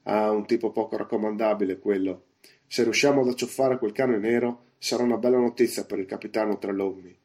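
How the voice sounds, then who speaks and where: A man voicing a bluff one-legged pirate innkeeper in a storybook, loud and emphatic, firing off orders and questions. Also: lowish voice, fairly even intonation, fairly quick, lightly acted. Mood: excited, blustering. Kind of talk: audiobook character voice.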